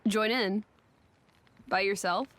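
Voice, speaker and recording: A woman's voice: medium pitch, slow pace, a bit bright. An actress playing a proud, self-assured young female companion in a video game, speaking frankly. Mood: pointed. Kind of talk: video game cutscene voice acting.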